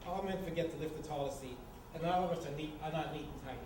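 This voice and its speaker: A man's voice: fairly high pitch, very fast, lightly acted. Guy playing a sarcastic needling roommate in a comedy read-through, distant mic, noisy echoey room. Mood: defensive, wry. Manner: sardonic, needling. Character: wry, teasing, unbothered male housemate, messier one. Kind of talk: staged screenplay reading, comedic.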